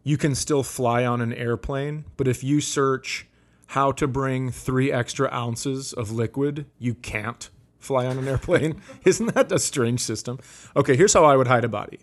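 A man's voice: medium pitch, unhurried. Comedian guy on a podcast, regular mid voice, teasing and joking around casually.

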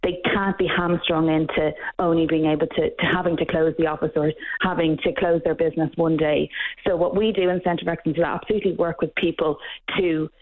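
A woman's voice: low voice, little pitch movement, fairly quick. An Irish woman answering interview questions earnestly and emphatically, often restarting her sentences. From Ireland. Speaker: guest expert. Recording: tinny phone-like line.

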